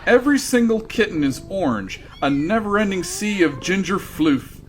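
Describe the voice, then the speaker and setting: A man's voice: high voice, lively intonation, moderate pace, slight background noise. A man playing a wry, theatrical narrator of a sci-fi comedy radio drama.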